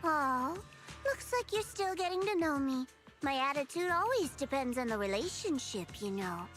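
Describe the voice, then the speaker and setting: A woman's voice: sits high, sing-song, moderate pace, over music. A woman voicing a teasing, self-assured young female agent in a video game, with a playful, smug, coaxing delivery.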